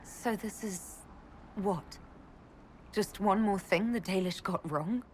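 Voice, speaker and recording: An actress playing a proud, wounded young elf mage in love, speaking earnestly and directly in a video game. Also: female voice, mid-range voice, takes it slowly, a little hiss or hum, lightly acted. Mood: hurt, bitter. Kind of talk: video game cutscene acting.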